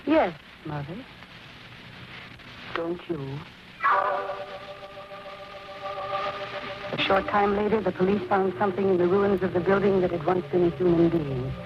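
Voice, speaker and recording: A woman's voice: fairly low pitch, normal speed, lightly acted. An actress playing the anguished daughter of a murdered man, in earnest, dramatic 1940s radio style. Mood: somber certainty. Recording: very noisy, muffled 1940s radio recording.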